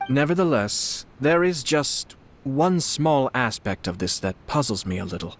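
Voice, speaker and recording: An actor playing a composed, courteous young noble commissioner, speaking in a measured, polite and gently probing way. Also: male voice, medium pitch, slight background noise, natural voice. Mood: reassuring. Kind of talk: game dialogue voice acting.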